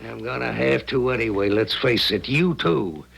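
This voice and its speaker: An actor playing a crude, ruthless, greedy thief with a gravelly voice and folksy tough-guy talk in a 1970s radio drama. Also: medium pitch, lively intonation, moderate pace, a bit bright, full character voice. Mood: coldly threatening. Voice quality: husky, gravelly.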